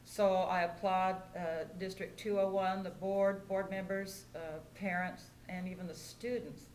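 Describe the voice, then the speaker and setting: A woman's voice: medium pitch, little pitch movement, a little slow, a little mellow. Older american lady mayor talking at a public meeting, roomy echo, bit of hiss or room noise. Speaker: mayor chairing a council meeting. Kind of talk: informal public remarks at a meeting. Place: indoor council meeting room.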